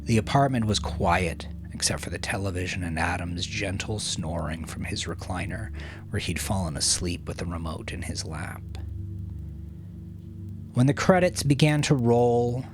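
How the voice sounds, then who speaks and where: A man narrating dramatic fiction from a script in a measured, solemn manner, with hushed phrase endings. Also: low voice. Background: music.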